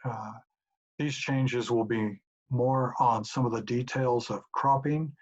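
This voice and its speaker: Husky middle-aged professor guy answering questions, steady and explanatory, muffled home-mic sound. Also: medium pitch. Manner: measured, explanatory. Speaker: professor and institute director. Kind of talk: recorded answers to audience questions. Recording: dull lo-fi home recording.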